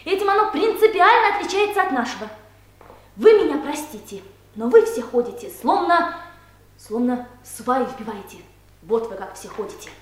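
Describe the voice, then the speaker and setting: A young woman, a brisk secretary in a radio comedy, pitched fairly high and swooping in melody. She instructs with blunt, emphatic self-assurance. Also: big pitch swings, a little hiss or hum. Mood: confident, lecturing. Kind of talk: 1970s Soviet radio comedy acting.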